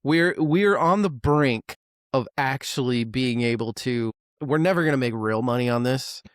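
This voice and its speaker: A man's voice: mid-range voice, moderate pace, no echo, clean studio sound. A man co-hosting a music podcast, chatting casually with sarcastic jokes and swearing. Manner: joking, profane, sarcastic. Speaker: podcast co-host.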